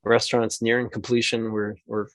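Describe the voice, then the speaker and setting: A man's voice: on the low side, level tone, normal speed, no echo. A man who makes mead, guest on a podcast, talking enthusiastically in long run-on stretches. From New Hampshire. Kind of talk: casual podcast interview.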